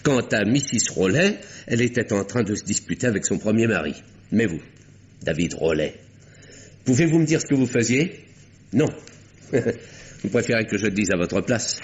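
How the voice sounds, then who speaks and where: An actor playing a calm doctor-detective who exposes a murderer in an old radio drama, speaking in a measured, pointed way with dramatic pauses. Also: male voice, lowish voice, slight background noise. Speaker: Doctor Westlake. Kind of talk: 1950s radio drama acting. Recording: dull old radio recording.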